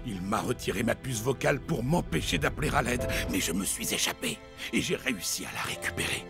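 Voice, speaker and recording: A man's voice: medium pitch, sing-song, a little fast, creaky, loud music behind the voice. A man voicing a polite, hunted talking orangutan in a French cartoon, speaking in an earnest, articulate, urgent way. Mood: earnest, explaining.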